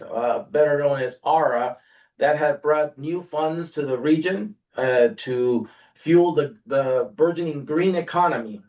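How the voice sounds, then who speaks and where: A man, a guest telling his story in a relaxed way with frequent "uh" pauses. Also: on the high side. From Peru. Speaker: guest, workforce agency director. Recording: voice slightly distant from mic.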